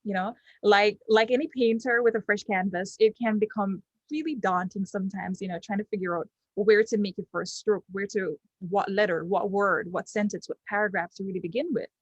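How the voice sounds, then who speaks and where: A young Jamaican woman, Patois her first language, talks through a testimonial in her Jamaican accent at a middling pitch. She halts often, filling gaps with ums and restarting phrases. The recording is dry, with no room sound. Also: steady tone, a little fast, husky, dry recording, dull audio. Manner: hesitant, many ums and restarts. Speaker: client giving a testimonial. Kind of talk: unscripted testimonial.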